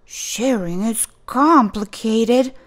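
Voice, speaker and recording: A woman's voice: on the high side, drawn-out words, pitched above the performer's own voice. A woman voicing a boisterous young boy shark in a children's storybook, exclaiming dramatically and sounding easily confused. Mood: defensive.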